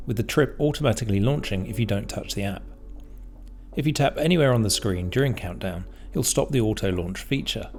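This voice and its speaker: Low-voiced man narrating a tutorial over music. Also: vocal fry, darkish tone. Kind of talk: scripted instructional narration. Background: background music.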